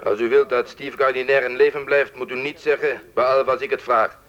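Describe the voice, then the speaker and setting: An actor playing a stern, secretive male informant over the telephone in a radio drama, curt, controlling and deliberate. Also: mid-range voice, level tone, a bit bright. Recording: dull, band-limited telephone-like sound.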